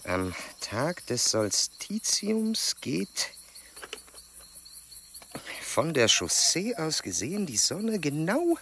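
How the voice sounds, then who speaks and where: An actor playing an earnest, proud, meticulous male German explorer in a radio drama, speaking in formal, precise, measured diction. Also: fairly high pitch, wildly swooping pitch, a bit bright, slight background noise, full character voice. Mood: grave, pondering.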